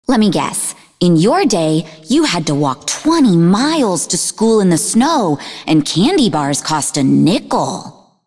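An actress playing a sarcastic, defensive young woman in a video game, speaking in an expressive, conversational way. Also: lowish voice, takes it slowly, husky, in a large hall, faint music behind, full character voice. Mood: defensive, dismissive. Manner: snarky, conversational, emotionally expressive. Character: sarcastic, defensive young woman, idealistic martial-arts student and researcher. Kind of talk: video game character dialogue.

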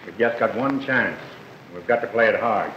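A man's voice: lowish voice, moderate pace, natural voice. A man playing a determined, scheming defense lawyer in old film drama style. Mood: urgent, determined. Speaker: actor playing a defense attorney. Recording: dull, roomy old film soundtrack, faint noise.

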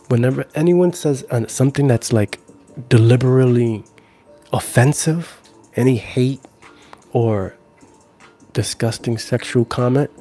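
A man in his forties talks casually to livestream viewers at a medium pitch. He is playful and laid-back, often doubling back on his phrases, and sits close to the mic. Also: dark tone, slightly rough. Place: small room at home. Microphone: handheld-style recorder on a stand, close up.

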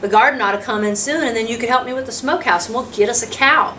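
A woman's voice: a little high, quick pace, bright, forceful tone, voice pushed up. Storybook frontier dad, read by a woman: hospitable, practical, country dialect. Faintly noisy, distant mic. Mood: practical, friendly. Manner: folksy rural dialect, practical and welcoming. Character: hospitable homesteader father, plain-talking frontier man.

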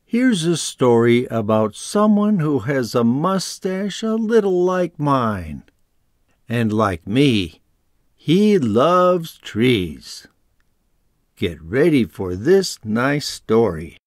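Older man, animated children's story reader. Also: medium pitch, no echo, studio quality. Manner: theatrical, deliberate. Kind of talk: expressive storybook reading in rhyme.

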